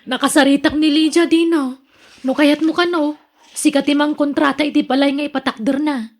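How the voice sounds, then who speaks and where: High-pitched nagging Ilocano wife played by a woman. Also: steady tone, moderate pace, close to an everyday voice. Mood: pleading. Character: nagging, jealous wife, hardworking and exasperated. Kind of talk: radio drama acting.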